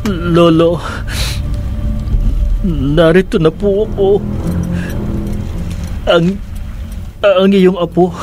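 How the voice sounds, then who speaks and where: A woman's voice: fairly low pitch, fairly even intonation, extremely slow, long pauses. A frightened guilty boy. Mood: hushed. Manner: pleading, fearful inner monologue. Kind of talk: radio horror drama acting. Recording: radio drama with loud music bed. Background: music.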